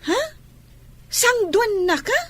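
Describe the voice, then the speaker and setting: A woman's voice: extremely high pitch, expressive, slow pace, a bit bright, heavily acted. A woman playing an orphaned girl, a protective older sister, in a radio drama. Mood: concerned, caring.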